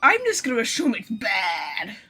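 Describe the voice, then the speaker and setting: A woman's voice: medium pitch, wildly swooping pitch, normal speed, pushed and bright, slightly rough, faint music behind. A woman chatting casually as a live game streamer, informal and sweary, joking at her own expense. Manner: sweary, self-deprecating, informal. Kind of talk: casual live gameplay chat, reading some lines aloud. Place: bedroom.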